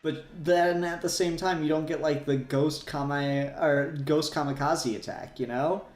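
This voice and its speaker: A man's voice: on the high side, steady tone, a little slow. A man in casual, sweary fan discussion as a podcast co-host, rambling along with emphatic repetition. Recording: roomy echo, faint music behind.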